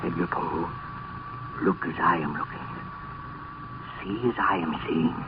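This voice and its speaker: A man's voice: low-pitched, a little slow. An actor playing a morbid, haunted man sliding into madness in an old radio horror play, intense and melodramatic. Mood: fearful, frantic. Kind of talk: vintage radio horror acting. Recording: tinny, noisy old radio recording.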